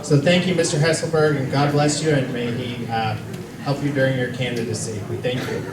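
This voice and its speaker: A man's voice: medium pitch, fairly even intonation. A man emcees a campaign rally, thanking speakers and announcing the next one. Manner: fumbles names, quick asides. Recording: distant mic in echoey hall over crowd.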